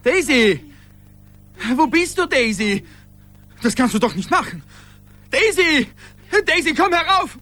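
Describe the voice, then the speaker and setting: Radio drama lead: pleading, halting everyman lover, high bright voice, clings stubbornly. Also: big pitch swings, dry recording, exaggerated voice. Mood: desperate. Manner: pleading, halting, repeats himself. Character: desperate, devoted everyman lover clinging to his humanity. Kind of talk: German radio play acting.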